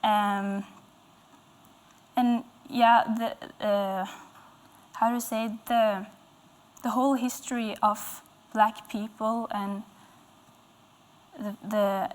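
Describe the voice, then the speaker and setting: Young female musician, Norwegian accent, slightly high voice, slow searching delivery for an interview guest spot. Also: steady tone. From Norway, west coast. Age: young adult. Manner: hesitant, searching for words. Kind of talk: interview answers. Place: indoor stage with dark curtains.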